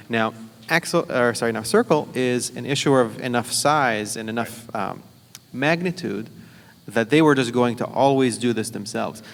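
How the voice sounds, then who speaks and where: Male panelist, gravelly, casual technical talk, long hall reverb. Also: medium pitch. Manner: fast, technical, conversational with many fillers. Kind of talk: conference panel discussion. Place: conference hall.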